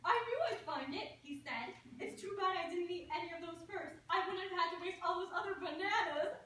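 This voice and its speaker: A woman's voice: extremely high pitch, a little fast, sharp tone. A woman playing an exasperated little schoolgirl on stage in reader's theatre. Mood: storytelling. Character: exasperated little schoolgirl Rondi with missing front teeth. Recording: very echoey room, distant mic, faint noise.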